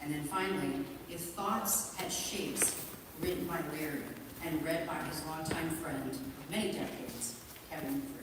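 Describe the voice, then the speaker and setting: A woman officiant making formal, measured announcements at a memorial service, pausing between phrases. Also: deep voice. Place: large echoing hall at a memorial service. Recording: distant, echoey hall with clear background noise.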